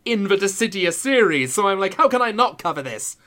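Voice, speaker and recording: Candid, excitable man who swears freely. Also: high-pitched, brisk. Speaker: YouTuber. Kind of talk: casual chat.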